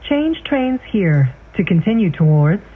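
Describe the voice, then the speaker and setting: A woman reading scripted transit announcements in a measured, formal, clearly enunciated way. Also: medium pitch, very animated. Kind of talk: scripted train station announcements. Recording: tinny band-limited train speaker, loud vehicle noise.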